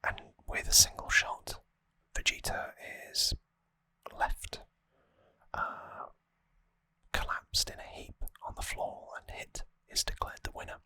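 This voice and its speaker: Slow, crisp ASMR whisper, very close. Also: dry recording, rough recording. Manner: hushed, unhurried, explanatory. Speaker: ASMR host. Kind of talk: whispered ASMR trivia recap. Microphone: close microphone.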